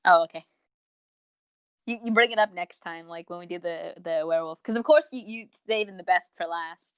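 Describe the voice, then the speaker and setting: A woman's voice: fairly low pitch, moderate pace, smooth, dry recording. A young woman talks as a podcast co-host in a casual, chatty way. She wanders into tangents and cuts across her own sentences. The sound is close and dry, with a slightly muffled lo-fi quality. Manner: chatty, tangent-prone, self-interrupting. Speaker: podcast co-host reviewing audio dramas. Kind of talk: casual rambling review chat. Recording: dull, lo-fi close dry mic.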